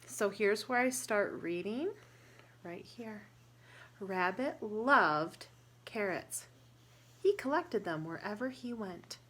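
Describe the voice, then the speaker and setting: A woman reading a picture book aloud to young children, pausing to explain and ask questions in a deliberate, instructive way. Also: medium pitch, animated, a bit bright, a little hiss or hum.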